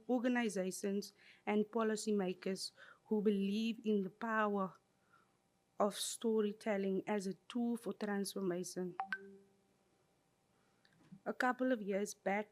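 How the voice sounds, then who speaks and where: A woman with a medium-pitched voice gives a prepared talk at a conference. She speaks in a measured, reflective way. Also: darkish tone. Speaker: conference presenter. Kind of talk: prepared presentation.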